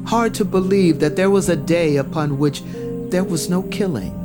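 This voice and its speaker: A man giving solemn, measured, scripted narration of a dramatic fantasy tale. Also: sits high. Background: prominent music.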